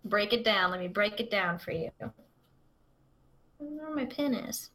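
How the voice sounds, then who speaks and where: A woman co-hosting a podcast, chatting casually, self-mocking, with quick reactions. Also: medium pitch, animated, bright, forceful tone. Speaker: podcast co-host. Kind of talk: casual chat.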